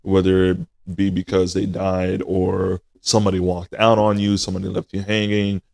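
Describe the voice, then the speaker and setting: A man in his twenties hosting a casual podcast, talking in a slow, reflective way and addressing listeners as y'all. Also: deep voice, monotone, vocal fry, a little mellow. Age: 20s.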